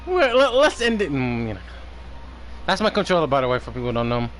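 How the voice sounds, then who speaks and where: A man doing casual livestream commentary on a game, halting as he stumbles over names and repeats words. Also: fairly high pitch, very animated. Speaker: game streamer. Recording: slight background noise on stream.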